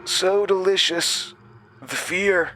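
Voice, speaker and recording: A man's voice: sits high, takes it slowly, sharp tone, slight background noise, voice pushed up, heavily acted, poor audio. A man playing a cruel, crazed camp director in a horror audio drama, taunting with sinister relish. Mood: gloating menace. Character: cruel, crazed male camp director, coldly menacing and gleeful.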